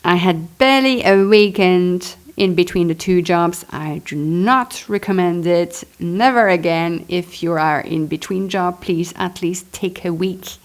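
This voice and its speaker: A woman's voice: on the low side, unhurried, hardly any pauses. A woman reads her own memoir aloud, expressively, adding chatty, self-deprecating asides. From Belgium. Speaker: author reading and commenting on own memoir.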